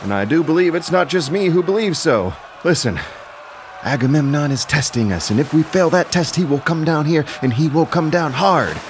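Casting: male narrator as a shrewd, bossy Greek king, highish voice, persuasive and sharp-tongued, audio drama with faint noise behind. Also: lively intonation, moderate pace, lightly acted. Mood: reasoning, warning. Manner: emphatic, persuasive, scolding. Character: wily commanding warrior king Odysseus, scolding.

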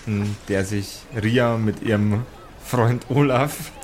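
A man's voice: lowish voice, slow pace, a little hiss or hum. A man acting as game master, narrating an improvised tabletop role-playing story.

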